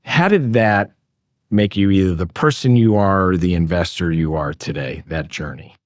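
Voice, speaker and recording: A man's voice: low-pitched, moderate pace, dry recording, clean studio sound. A man hosting an interview in a conversational style, affirming his guest and rephrasing his follow-up questions as he goes. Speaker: interviewer, host.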